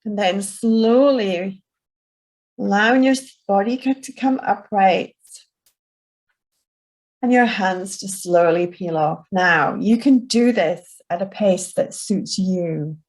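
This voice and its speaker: A woman guiding a group through a gentle self-soothing session, speaking softly and soothingly. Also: mid-range voice, rough recording. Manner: soft, slow and soothing. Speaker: relaxation instructor.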